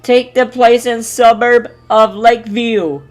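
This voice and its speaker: A woman giving a casual live reaction, excitable and exclaiming, sometimes reading haltingly. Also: on the high side. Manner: excitable, exclaiming, reads on-screen text aloud haltingly. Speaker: streamer reacting to a video.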